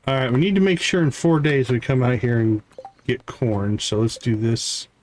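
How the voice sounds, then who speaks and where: A man's voice: mid-range voice, moderate pace, a little mellow. A man doing casual live game commentary, thinking aloud and talking himself through each task step by step. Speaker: live game streamer, host.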